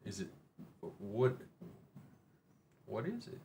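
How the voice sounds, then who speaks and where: A middle-aged man with a drawl chats loosely through his live stream. He rambles, jokes and swears now and then, in a fairly low voice. A faint hiss of noise sits under him. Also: wildly swooping pitch, slow pace, creaky, mellow. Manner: rambling, joking, mildly profane. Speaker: livestream host. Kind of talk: casual live-stream chat.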